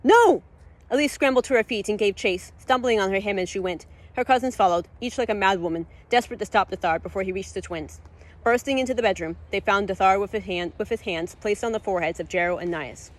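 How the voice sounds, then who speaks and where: A woman reading her own fantasy story aloud as dramatic narration, expressive, sometimes stumbling and repeating a phrase. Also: mid-range voice, fast talker.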